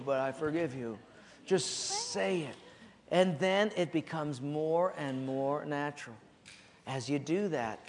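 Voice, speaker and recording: A male pastor from preaching a sermon in a church, swinging between loud high emphasis and low quiet drops. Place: church sanctuary. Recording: long hall reverb.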